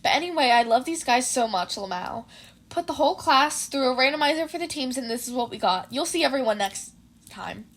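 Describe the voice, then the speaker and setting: A woman's voice: high-pitched, lively intonation, a little fast, a bit bright. A woman podfic reader narrating fan fiction and chatting informally about it in a slangy way.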